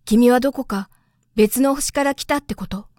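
A woman's voice: a little high, moderate pace, mellow, dry recording, studio quality, lightly acted. A woman voicing a stranded male pilot in a storybook, earnest, easily irked and plain-spoken. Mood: urgent curiosity.

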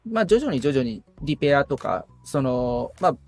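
A man's voice: mid-range voice, big pitch swings, moderate pace, soft background music. A man around forty talking as a podcast guest in a thoughtful, explanatory way, with tentative phrasing in a casual interview. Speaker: podcast guest, guitar repair technician.

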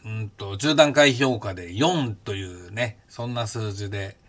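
A man in his fifties, hosting a podcast and recounting his past in a casual, blunt and animated way. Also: fairly low pitch, unhurried. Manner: animated, blunt storytelling with rough casual speech. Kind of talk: casual spoken memoir.

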